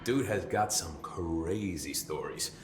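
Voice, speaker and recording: An actor playing a chatty, nervy, loyal maintenance security chief in a video game, rambling informally with wry swearing. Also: male voice, low voice, slow, roomy sound, a little hiss or hum. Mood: warm, proud.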